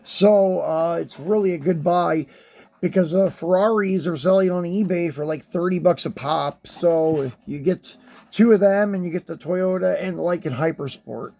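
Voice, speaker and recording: A man who is hoarse from a sinus infection, chatting casually and rambling as he hosts a toy car unboxing, with many ums and uhs. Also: high-pitched, normal speed, dark tone. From West Virginia, USA. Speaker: die-cast collector and channel host.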